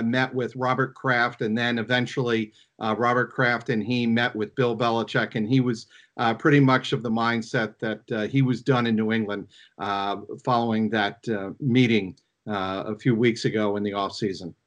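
A man gives off-the-cuff sports commentary. His voice is medium in pitch, and his phrasing is halting, with plenty of pauses as he builds each point. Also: little pitch movement, fairly bright tone, muffled recording. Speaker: sports reporter and analyst.

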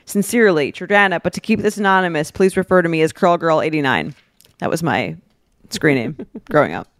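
A woman's voice: sits low, animated, a little fast, no room sound, studio quality. A woman co-hosting a podcast, reading a script aloud with some casual banter. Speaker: podcast co-host.

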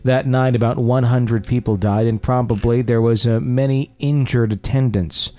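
A man's voice: medium pitch, steady tone, normal speed, mellow. A man hosting a podcast, chatting casually and reading listener stories aloud in an agreeable, conversational manner. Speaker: podcast host. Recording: music behind the voice. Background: music.

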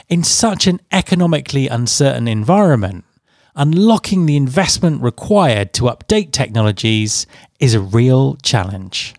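Male podcast host, lively upbeat delivery, dry close sound. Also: mid-range voice, dry recording. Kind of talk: casual interview hosting.

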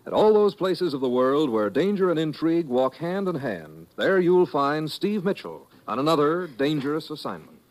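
A male announcer opening a vintage radio show with a grand, declamatory delivery. His voice is fairly high and swings widely, with a faint hiss on the broadcast. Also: sing-song, normal speed, few pauses, poor audio. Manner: dramatic, declamatory.

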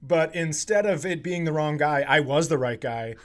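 American guy hosting a comedy podcast, kinda high voice, swears a lot, riffs casually. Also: normal speed. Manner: sweary, riffing. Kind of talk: casual comic chat.

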